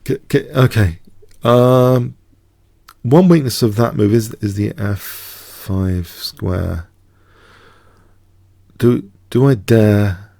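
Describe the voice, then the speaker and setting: Low, gravelly man with a head cold, hesitant live blitz chess commentary at a desk mic. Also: extremely slow, warm tone. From not from the United States. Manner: hesitant, stammering restarts. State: congested with a cold. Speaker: chess streamer.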